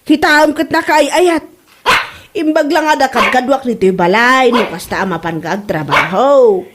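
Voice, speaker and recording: A woman's voice: high-pitched, normal speed, natural voice. A woman with an Ilocano accent playing a stubborn mother who defends her dog in an amateur comedy drama, recorded with noise. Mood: stubborn, defensive. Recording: clearly noisy background.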